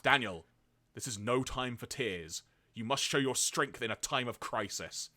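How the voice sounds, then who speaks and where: A man voicing a stern, stoic cartoon father, gravely injured and speaking in a measured, solemn way. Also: medium pitch, lively intonation, bright, forceful tone.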